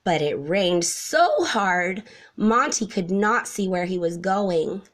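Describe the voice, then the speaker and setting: A woman narrates a children's storybook at a slow, careful pace. Her intonation rises and falls widely, giving the reading an expressive, animated feel. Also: on the low side. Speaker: storybook reader.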